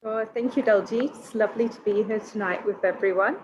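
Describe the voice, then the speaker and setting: Woman, recruitment manager, measured and businesslike, mid-range voice, video call. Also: medium pitch, steady tone, a little hiss or hum, rough recording. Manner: measured, professional. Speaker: university regional recruitment manager. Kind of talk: webinar panel talk.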